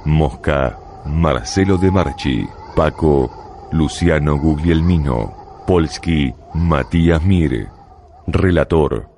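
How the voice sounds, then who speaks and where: A man announces radio drama credits in an extremely low voice. His delivery is slow and scripted, and there is clear noise behind him. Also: a little mellow. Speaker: announcer reading cast and crew credits.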